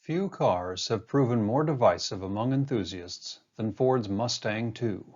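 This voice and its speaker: A man giving a scripted documentary narration in an even, monotone delivery, recorded as a voice-over. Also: fairly low pitch, measured pace, lo-fi sound. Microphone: home-recorded voice-over.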